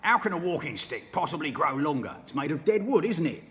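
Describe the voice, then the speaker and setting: A man playing a nasty old man, gloating, taunting, loud and raised, echoing in a hall. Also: a little high, big pitch swings, a little fast, pitched above the performer's own voice. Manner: taunting, mock-solemn.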